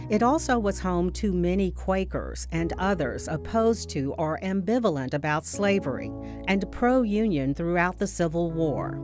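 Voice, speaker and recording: A woman reading scripted documentary narration as the narrator. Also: fairly low pitch. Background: guitar music.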